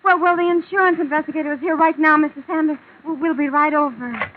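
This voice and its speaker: Polite, flustered young American secretary played by an actress, high-pitched, radio-play style, dull hissy old recording. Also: female voice, steady tone, fast talker, natural voice. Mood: flustered, alarmed. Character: polite, easily flustered young female office assistant. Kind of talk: old time radio drama acting. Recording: muffled, noisy vintage radio recording.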